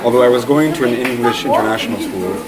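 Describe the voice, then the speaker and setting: Brief: young Canadian man, easygoing rambling talk, loud crowd noise behind him. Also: mid-range voice, fast talker. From Eastern Canada. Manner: relaxed, rambling, lots of "like". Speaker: interview guest. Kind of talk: casual conversational interview.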